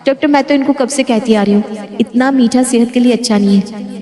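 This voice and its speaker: A woman's voice: a little high, brisk, warm tone, lightly acted. A woman playing a health-conscious, insistent young daughter-in-law in a family story drama. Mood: insistent, worried. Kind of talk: hammy family story dialogue. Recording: reverberant, hall-like echo.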